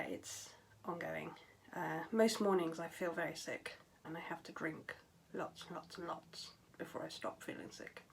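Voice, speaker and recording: Tired young woman at home, candid and matter-of-fact, voice slipping into breathy near-whispers. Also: on the low side, low-quality recording. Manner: candid, matter-of-fact, with frequent fillers and trailing phrases. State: tired and low on voice power. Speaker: vlogger. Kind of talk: casual talk to camera.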